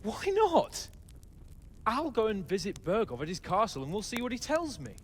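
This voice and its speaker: An eager, high-voiced young nobleman. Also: huge pitch swings, moderate pace, a little hiss or hum. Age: young adult. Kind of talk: video game cutscene acting.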